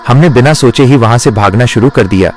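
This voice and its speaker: A man's voice: medium pitch, a little fast, soft background music. A man narrating a scripted horror story in the first person.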